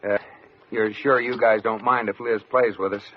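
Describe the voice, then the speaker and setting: An actor playing a wry, exasperated husband in a vintage radio sitcom. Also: male voice, fairly low pitch, fairly even intonation, normal speed, close to an everyday voice. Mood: patient, wry. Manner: wry, harried explaining. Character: exasperated husband, wry, patient then fed up. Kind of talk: 1940s-50s radio sitcom acting. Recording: muffled, very noisy old radio recording.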